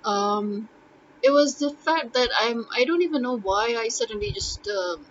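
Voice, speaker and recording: A woman's voice: fairly high pitch, lively intonation, unhurried, lo-fi sound, a little hiss or hum. A woman who teaches Urdu, talking casually and thinking aloud, with many ums and uhs. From Pakistan. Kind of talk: casual spoken blog monologue.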